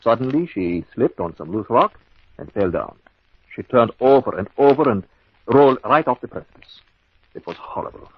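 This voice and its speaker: An actor playing a cold-blooded murderous husband in an old-time radio mystery, speaking in a formal, measured, theatrical way. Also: male voice, medium pitch, animated, slight background noise, natural voice. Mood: feigned composure. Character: smooth, cold-blooded husband plotting his wife's murder. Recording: dull lo-fi old radio recording.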